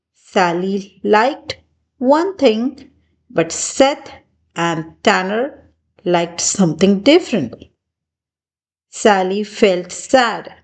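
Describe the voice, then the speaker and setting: Female children's storybook narrator, extremely unhurried delivery, highly animated intonation, expressive read-aloud for little ones. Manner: slow, deliberate, expressive phrasing. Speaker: children's book reader.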